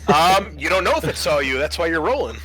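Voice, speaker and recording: A man acting as game master, improvising narration and explaining rules, often pausing mid-sentence as he thinks. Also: on the high side, lively intonation, pushed and bright, breathy edge, rough recording. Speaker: game master and host of a tabletop roleplaying session. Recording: noticeable background room noise.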